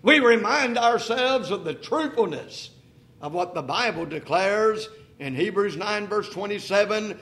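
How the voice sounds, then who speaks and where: A man in his sixties preaching a sermon, asking rhetorical questions and slowing to a quiet, deliberate pace for emphasis. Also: sits high, expressive, breathy edge. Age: 60s. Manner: repeats "if you please" and "think about this".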